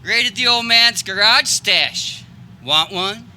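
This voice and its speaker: A woman's voice: medium pitch, slow, bright, forceful tone. A woman playing a crude, sarcastic, hot-tempered teenage boy in a live outdoor comedy radio play. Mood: sneering, cool. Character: foul-mouthed, hot-tempered, sneering rebellious teenage boy. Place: backyard, before a live audience. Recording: live outdoor recording, faint background noise.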